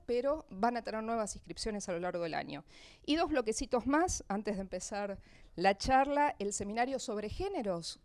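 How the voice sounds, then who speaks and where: A woman with an Argentine Spanish accent giving an informative public presentation of a programme, halting now and then between items. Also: medium pitch, a little mellow, no echo. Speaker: event presenter, press officer of an authors' association. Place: live talk at an association venue.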